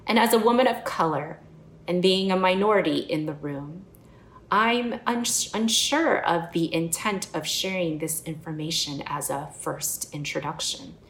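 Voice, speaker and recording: A woman's voice: fairly low pitch, fairly bright tone. Earnest woman preaching in an echoey room. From United States. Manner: earnest, personal and reflective. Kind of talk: sermon.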